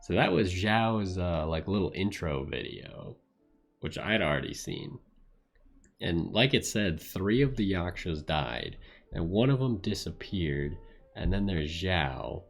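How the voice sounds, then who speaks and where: A man's voice: sits low, expressive, a little slow. A man giving casual game-stream commentary in an offhand, musing way.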